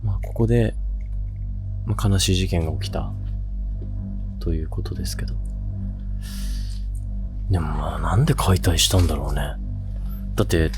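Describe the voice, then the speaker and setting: A man's voice: sits low, normal speed, pauses often, over music. A man chatting casually as he hosts an exploration video of a spooky place. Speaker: host of a haunted-spot exploration video. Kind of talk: casual chat while exploring.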